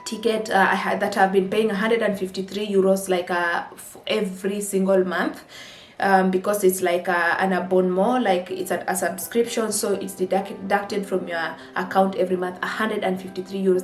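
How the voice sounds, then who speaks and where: Chatty Kenyan woman vlogging in an echoey room. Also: mid-range voice. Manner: chatty, exclaiming, lively asides. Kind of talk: casual chat to camera. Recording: echoey room with faint music.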